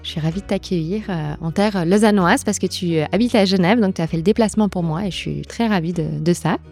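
A woman's voice: on the low side, fast, gravelly, a little mellow, faint music behind. A woman hosting a podcast interview in an informal, chatty conversational style. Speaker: podcast host.

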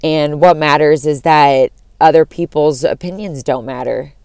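Young woman with a deep voice, podcast rambling, lots of "like", swears a bit, smooth clear sound, dry close mic. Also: unhurried, a little mellow, no echo. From Florida. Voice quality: deep voice for a woman, cracks sometimes. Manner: rambling, profane, full of "like" fillers. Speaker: podcast host. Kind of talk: casual solo podcast musing.

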